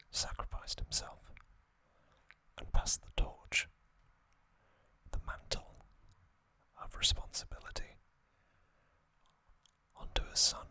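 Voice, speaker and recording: An ASMR host whispering a gentle, measured recap of a story, softly and without voice. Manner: soft unvoiced whisper throughout.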